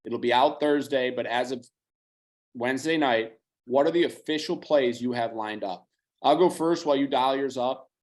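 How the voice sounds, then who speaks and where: Hoarse-ish podcast guy, rattles off bets fast and loose, mid pitch. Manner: fast, analytical, casual swearing. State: slightly hoarse. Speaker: podcast co-host. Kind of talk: casual betting chat.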